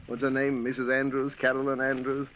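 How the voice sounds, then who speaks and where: An actor playing a fussy, garrulous gentleman colleague with a pompous, clubby manner, in old radio drama style. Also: mid-range voice, completely flat delivery, brisk, slight background noise, lightly acted. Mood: jovial, fussy. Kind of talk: 1940s radio acting. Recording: thin, dull old radio broadcast.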